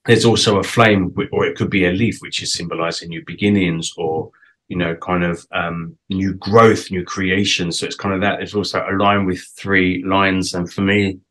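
A man's voice: sits low, level tone, normal speed, fairly bright tone, rough recording. A British man in his late forties with a British accent, hosting a casual interview on a video call, chatty and reflective. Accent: British English. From Britain. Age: 40s. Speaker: interview host. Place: home room, indoors. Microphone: webcam on a video call.

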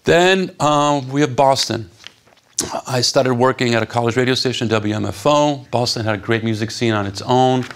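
A man in his fifties from Poland, whose first language is Polish, giving an animated, conversational stage talk with many fillers to a seated audience. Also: medium pitch, moderate pace. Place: stage before a seated audience in a dark hall.

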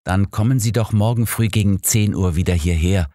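Man voicing a distraught, dignified cardinal, pitched up. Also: normal speed, dark tone, dry recording, clean studio sound, lightly acted. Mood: earnest, insistent. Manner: grave, pleading, formal. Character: distraught, dignified Italian cardinal, earnest and devout.

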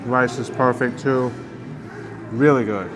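A man of mid pitch hosts a casual food vlog from inside a restaurant, narrating as he tries each dish. He talks with easy enthusiasm and savors bites with soft murmurs, while clear music plays underneath. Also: steady tone, slow. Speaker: food vlogger. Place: roadside restaurant in a hot desert town.

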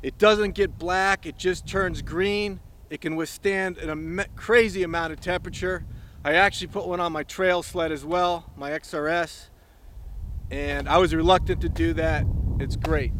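A man's voice: fairly high pitch, steady tone, moderate pace, a bit bright, a little hiss or hum. A man giving an enthusiastic, plainspoken review outdoors on a snowy farm, talking casually and a bit rambling. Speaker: snowmobile reviewer.

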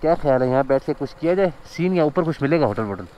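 A man's voice: fairly high pitch, expressive, fast, warm tone, highs cut off, slight background noise. Chatty man riding through snow. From Pakistan. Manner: chatty, marvels at scenery, chuckles often. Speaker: vlogger. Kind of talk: casual motorbike ride commentary. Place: outdoors on a snowy mountain road.

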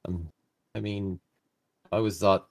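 A man with a slightly raspy voice as color commentator on a video game match, casual and quick to react. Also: sits low, fairly even intonation.

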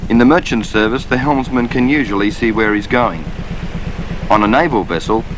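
A man narrating a television documentary in a measured, even way, also putting questions to people on board. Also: medium pitch, background noise. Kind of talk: scripted documentary narration and interviewing.

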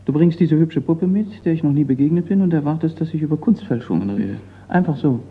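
An actor playing a blunt, sardonic, wary forgery expert in a radio crime drama. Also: male voice, a little high, animated, fairly quick, slightly rough. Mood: irritated, sarcastic. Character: gruff, wary museum craftsman and forgery expert, a man. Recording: dull, noisy radio play recording.

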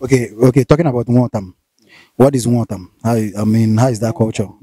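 A man's voice: mid-range voice, fairly even intonation, brisk, mellow, breathy edge, dry recording. A man in his thirties with a Nigerian accent, hosting a conversational interview with probing, hesitantly phrased questions. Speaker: interviewer, host. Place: outdoors, seated by corrugated metal fence. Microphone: handheld interview mic.

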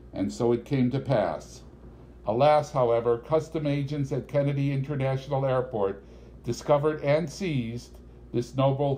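A man's voice: a little high, a little mellow. A man reads literary fiction aloud as an audiobook narrator.

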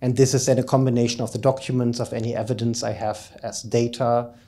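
A man's voice: medium pitch, little pitch movement, fast, dark tone. A middle-aged man giving a keynote talk in an informal, explanatory manner, speaking into a handheld microphone in a conference room. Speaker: keynote speaker, academic researcher. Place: conference lecture room, indoors, at a lectern.

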